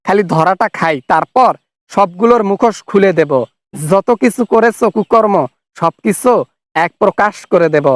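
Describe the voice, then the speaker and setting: A man's voice: sits high, moderate pace, no room sound, lightly acted. A man voicing a defiant, accusatory fugitive official in a comic cartoon phone argument. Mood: angry, threatening. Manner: accusatory, threatening, colloquial. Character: angry, defiant fugitive male official, funny cartoon caricature.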